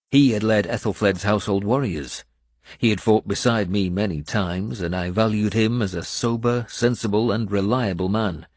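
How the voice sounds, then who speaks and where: A man's voice: lowish voice, a little mellow, dry recording, clean studio sound. A man reading dramatic first-person narration as an audiobook narrator.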